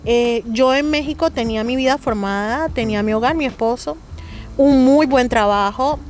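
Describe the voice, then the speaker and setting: A woman's voice: fairly high pitch, moderate pace, slight background noise. A middle-aged woman from Colombia with a Colombian Caribbean accent touched by Mexican speech, a guest talking earnestly with many fillers. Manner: earnest, hesitant with frequent fillers. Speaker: interview guest. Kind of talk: casual interview conversation.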